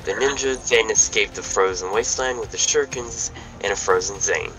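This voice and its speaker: A man's voice: on the low side, a little fast, low-quality recording. A man reading the stage directions of a fan table read aloud, stumbling over words now and then. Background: background music.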